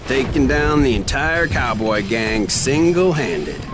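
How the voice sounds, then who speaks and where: An actor playing a knowing, doubting saloon listener with a drawn-out delivery. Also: male voice, mid-range voice, sing-song, background noise. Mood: prompting. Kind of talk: dramatic dialogue in a Western game. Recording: voice over guitar music.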